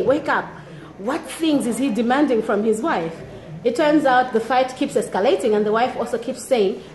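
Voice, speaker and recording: A woman from Zambia with a Zambian accent, a blogger and activist telling a personal story in an animated, amused way. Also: a little high. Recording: echoey room.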